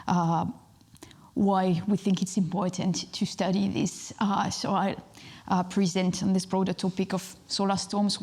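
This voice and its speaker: A woman's voice: mid-range voice, a little mellow. A young woman from southern France, a space physics researcher, giving a public science talk with many fillers and restarts.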